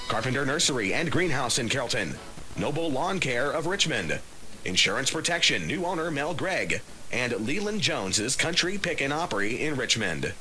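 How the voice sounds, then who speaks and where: A man's voice: medium pitch, bright, forceful tone, poor audio. A man reading a scripted event promo as a radio announcer.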